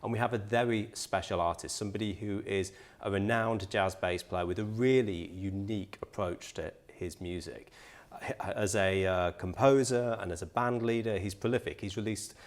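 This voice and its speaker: A man's voice: fairly low pitch, steady tone, normal speed. A man hosting an interview, introducing his guest and asking questions in a friendly, encouraging way. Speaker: interview host. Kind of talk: hosted interview.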